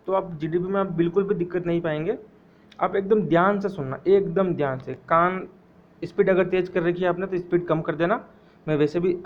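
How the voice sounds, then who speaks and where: A man teaching an exam-prep economics lecture, repeating key phrases slowly so students can memorize them. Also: fairly high pitch, dull audio.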